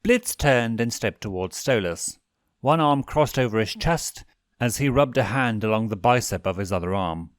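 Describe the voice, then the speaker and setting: A man narrating a scripted story in an expressive audiobook style. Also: medium pitch, moderate pace, no room sound, studio quality. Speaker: fan fiction reader and narrator.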